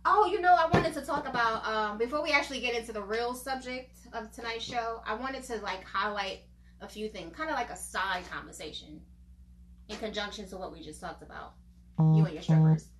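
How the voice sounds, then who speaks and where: A woman in her forties co-hosting a podcast, chatting casually and teasing her co-host. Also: on the high side. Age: 40s. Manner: teasing, chatty, quick to laugh. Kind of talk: casual chat. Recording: roomy with faint background noise.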